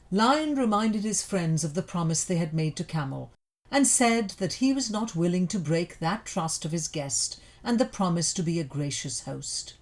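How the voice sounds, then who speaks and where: Woman storyteller with lively, swooping pitch. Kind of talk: scripted children's folk tale narration.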